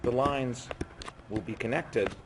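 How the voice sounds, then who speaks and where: A man giving a physics lecture while losing his voice, speaking haltingly and often breaking off mid-phrase. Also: mid-range voice. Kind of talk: university lecture at a board. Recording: noisy classroom recording.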